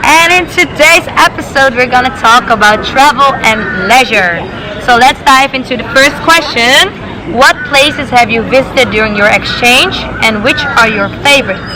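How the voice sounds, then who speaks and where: A young woman hosts a relaxed outdoor panel and keeps the talk moving with friendly questions. Her voice sits a little high. Steady street traffic and a murmur of people run behind her. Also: normal speed, a crowd in the background. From Netherlands and Thailand. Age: young adult. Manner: friendly, asks questions and moves the talk along. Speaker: host. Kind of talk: casual chat, hosting a panel. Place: outdoors on a busy city street.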